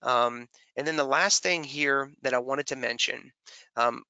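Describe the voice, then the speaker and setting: A man presenting a software tutorial as a webinar trainer, explaining informally step by step and often checking in with short tags. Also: mid-range voice, a little slow, clean tone, dry recording, lo-fi sound.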